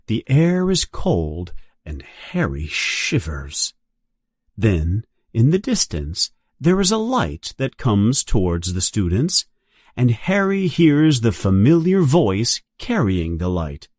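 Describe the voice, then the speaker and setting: A man narrating a read-along audiobook story in a deliberate, expressive manner. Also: medium pitch, no room sound, clean studio sound.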